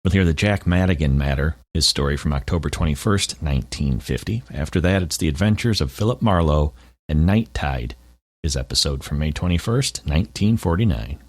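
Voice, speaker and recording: A man's voice: a bass voice, expressive, fairly quick. A man reading a scripted introduction as the host of a podcast.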